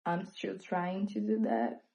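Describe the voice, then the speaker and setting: A young woman with a Brazilian accent, native in Portuguese, talking openly and reflectively in a personal vlog, with frequent fillers and pauses. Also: mid-range voice, animated, warm tone, muffled recording. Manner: reflective, hesitant with many fillers. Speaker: vlogger. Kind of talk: candid personal monologue.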